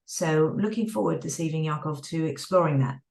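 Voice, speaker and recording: A woman with a London accent, speaking clearly and warmly as she explains things to a group of teens. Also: low voice, fairly quick, far from the mic. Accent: London English. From London, England. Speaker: co-host. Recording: roomy sound, a bit off mic.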